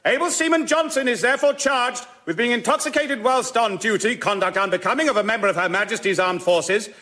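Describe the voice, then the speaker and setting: High-voiced formal naval officer. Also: male voice, a little fast, bright, forceful tone. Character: formal, respectful male naval court officer. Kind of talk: radio sketch comedy.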